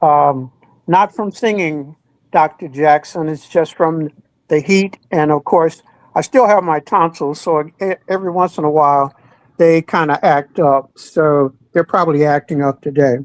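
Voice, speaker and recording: Hoarse lady doing word of the day on a talk show, real slow, dry sound. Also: deep voice, no echo, rough recording. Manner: slow, deliberate, spells words out. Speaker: radio show co-host.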